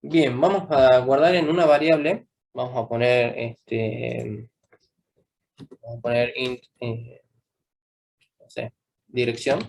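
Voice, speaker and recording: A man's voice: medium pitch, creaky, darkish tone. A young man teaching a live coding class, patiently checking in with students after almost every step. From Argentina. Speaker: programming teacher.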